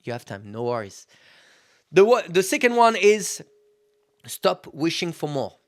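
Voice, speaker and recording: A man's voice: a little high, very animated, measured pace, darkish tone. A man in his thirties hosting a podcast, talking casually and candidly, swearing freely and calling his listeners guys. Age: 30s. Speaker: podcast host. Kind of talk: casual motivational monologue.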